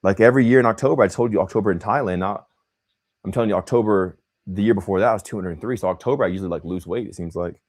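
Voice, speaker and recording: A man's voice: lowish voice, rapid-fire. A young man hosting a casual livestream, talking in a loose, slangy way and calling people bro and man. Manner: animated, slangy, says bro and man a lot. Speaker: host.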